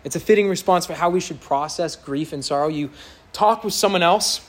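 A man preaching to his congregation in a church, his voice loud and somewhat high for a man. He speaks earnestly and with emphasis, building to impassioned peaks. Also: fairly quick. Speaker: pastor. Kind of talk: sermon.

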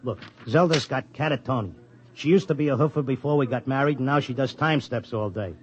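A man's voice: mid-range voice, a little fast, a little hiss or hum, close to an everyday voice. Man doing a tough-talking New horse-race tipster, blunt slang, dull old radio sound. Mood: determined. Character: streetwise Broadway racetrack tipster, devoted husband. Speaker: narrator and lead. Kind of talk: 1950s radio drama acting. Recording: dull 1950s radio broadcast.

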